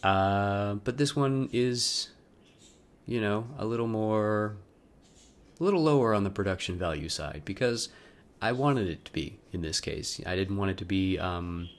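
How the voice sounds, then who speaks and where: Wry man with a lowish voice. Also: lively intonation, a little slow. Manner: wry, self-deprecating, joking asides. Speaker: video creator. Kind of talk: casual vlog intro, then loose dramatic reading of a personal letter.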